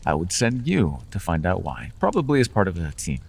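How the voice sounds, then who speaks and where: A man's voice: low voice, huge pitch swings, brisk, creaky, breathy edge, long reverb tail, heavily acted. A man voicing a playful male fae patron in an ASMR fantasy roleplay, talking in a charming, teasing, conversational way with small restarts.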